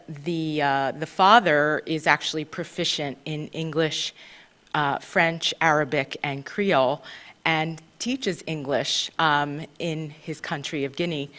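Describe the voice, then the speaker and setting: A woman with a low voice speaks at a school board meeting. She talks haltingly, with frequent fillers and restarts. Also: bright, forceful tone. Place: meeting room.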